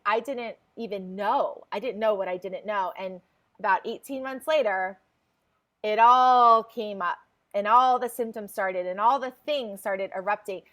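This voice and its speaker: A woman's voice: medium pitch, fairly bright tone. A woman in her forties, a podcast guest, telling her story in a chatty way with frequent laughs. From New Jersey. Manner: chatty, laughs often, restarts phrases. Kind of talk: casual interview chat.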